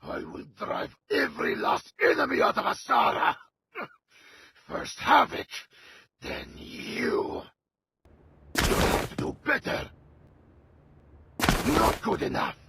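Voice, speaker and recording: A man voicing a vengeful, wrathful militant commander in a video game, gravelly and commanding, delivering menacing combat lines. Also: extremely high pitch, lively intonation, slow pace, long pauses, sharp tone, a little hiss or hum, heavily acted. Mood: furious. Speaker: playable game character.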